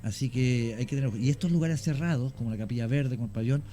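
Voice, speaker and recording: Chilean male guest, mid-pitched mellow voice, lecturing at length, faint music behind. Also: fast talker. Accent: Chilean Spanish. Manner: long explanations, frequent fillers and restarts. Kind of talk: explanatory radio talk.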